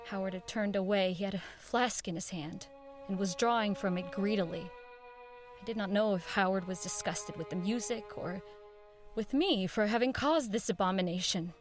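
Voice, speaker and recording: A woman playing an uneasy radio producer who recalls eerie events in measured, dramatic audio drama narration. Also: mid-range voice, lightly acted. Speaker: narrator and radio show director. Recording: violin music behind the voice.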